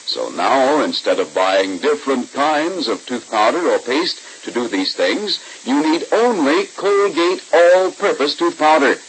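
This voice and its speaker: A man's voice: mid-range voice, animated, unhurried, hardly any pauses, rough recording. A man as a 1940s radio announcer, reading scripted narration and ads in a polished, emphatic, selling manner. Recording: hissy old-time radio recording.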